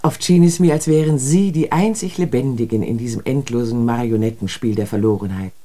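An actor playing a critical, reflective observer of city life in a radio drama, with earnest, deliberate rhetorical phrasing. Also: medium pitch, warm tone, lightly acted. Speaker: radio play speaker. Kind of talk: literary German radio drama monologue.

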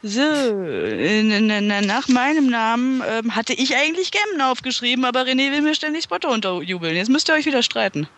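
A woman's voice: a little high, sing-song, fairly quick, few pauses, fairly bright tone, a little hiss or hum. A woman with a cold and a blocked nose, chatting casually and laughing often while playing a party game with friends on a podcast. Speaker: podcast host and player.

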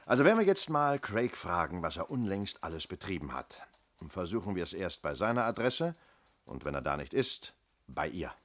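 Thoughtful police inspector, deep pitch, dry, deliberate, vintage radio play. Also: male voice, very animated. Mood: dry, pondering. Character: measured, dryly thoughtful male police inspector. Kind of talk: 1970s German radio play acting.

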